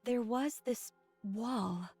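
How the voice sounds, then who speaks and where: A woman's voice: fairly high pitch, extremely slow, a little mellow, husky, no echo, full character voice. A woman voicing a subdued, earnest, remorseful female android soldier in a dramatic video game scene. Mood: remorseful, haunted. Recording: music behind the voice.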